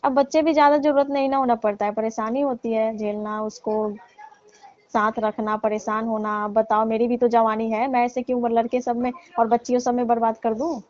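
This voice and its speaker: A woman acting as a middle-aged married aunty with a fairly high voice, coy and teasing. She draws out her complaints flirtatiously in a comedy call skit. The audio is dull and lo-fi, like a recorded phone call. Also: level tone, normal speed, darkish tone, close to an everyday voice. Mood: coy, confiding. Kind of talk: staged intimate phone chat in colloquial Hindi.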